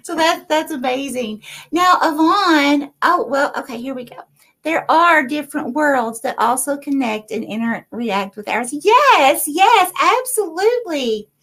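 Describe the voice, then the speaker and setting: A woman's voice: high voice, animated, unhurried, fairly bright tone. A woman hosting a live paranormal interview stream, reading viewer comments aloud and reacting to them enthusiastically. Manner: enthusiastic, effusive. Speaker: host of a paranormal interview show.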